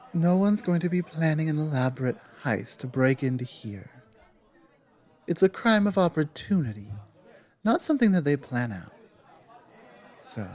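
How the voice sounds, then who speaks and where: A man playing a huge, stern minotaur tavern guard in an ASMR roleplay, confident and teasing, with a deliberate, halting, menacing but amused delivery. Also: medium pitch, darkish tone. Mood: explaining. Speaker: audio roleplay performer. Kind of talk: ASMR roleplay, in-character monologue.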